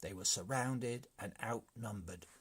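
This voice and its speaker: An inventive young captive, acted by a man reading aloud spins a tall tale in a storybook. The delivery is earnest and measured, with a husky grain to the voice. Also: on the low side, fairly bright tone. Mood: dramatic. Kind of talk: storybook character voice.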